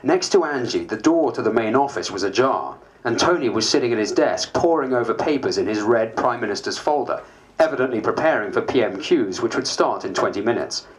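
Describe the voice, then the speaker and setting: A man's voice: mid-range voice, animated, fairly quick, natural voice, low-quality recording. A man playing a harried, self-mocking MP, narrating a dramatised diary in a dry, wry way. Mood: wry, weary. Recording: faint background noise.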